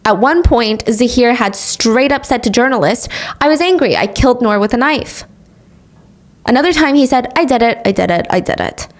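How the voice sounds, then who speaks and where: A woman hosts a true crime podcast, walking through the case in a lively, chatty way. Her pitch sits a little high, and she drops in dry, sarcastic asides. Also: normal speed. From grew up speaking English, also Korean. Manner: sarcastic asides, animated retelling. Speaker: podcast host. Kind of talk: true crime storytelling chat.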